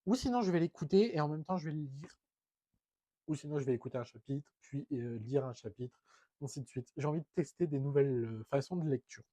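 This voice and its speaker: A man's voice: fairly high pitch, expressive, brisk, mellow, no room sound. A young man with a head cold, talking through a stuffed nose in a chatty, hesitant book vlog full of fillers. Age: young adult. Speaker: book vlogger. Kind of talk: casual book haul vlog.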